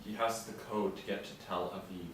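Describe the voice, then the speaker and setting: A man's voice: fairly low pitch, steady tone, a little fast, lightly acted. Very echoey, terse actor as a male spy. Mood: hard, guarded. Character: terse, hard male spy posing as a militant. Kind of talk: screenplay table read. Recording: very echoey room, distant mic, faint noise.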